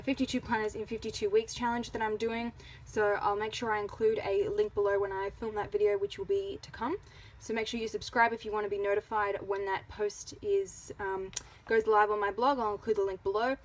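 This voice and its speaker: A woman's voice: medium pitch, slight background noise, poor audio. A woman with an Australian accent giving a casual product review as a vlogger.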